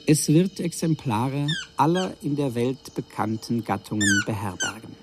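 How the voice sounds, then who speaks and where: A man's voice: mid-range voice, animated, a little slow, close to an everyday voice. Solemn dignitary played by a man, noisy scene. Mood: solemn, hopeful. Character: solemn dignitary giving a grandiose public thank-you speech. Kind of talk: formal ceremonial speech in radio drama.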